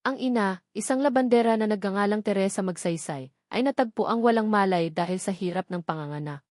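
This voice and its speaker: A woman's voice: medium pitch, darkish tone, no room sound. Woman narrating drama, dry close sound. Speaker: narrator of love-story audio dramas. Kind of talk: scripted dramatic story narration.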